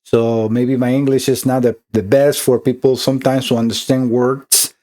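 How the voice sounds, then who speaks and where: A man's voice: mid-range voice, level tone, moderate pace, warm tone. Costa Rican man explaining an electronics repair. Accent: Costa Rican Spanish. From Costa Rica. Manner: conversational with frequent fillers and restarts.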